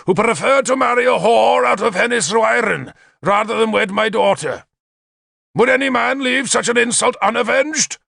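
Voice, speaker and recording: Man playing a forceful, high-pitched, imperious warlord king. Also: lively intonation, normal speed, creaky, husky, no room sound, studio quality, full character voice. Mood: scornful rage. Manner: declamatory, menacing, commanding.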